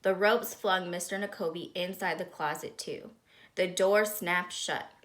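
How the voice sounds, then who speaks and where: A woman reading a children's storybook aloud in an expressive, dramatic, suspenseful way. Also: fairly low pitch, a little slow. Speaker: storybook reader.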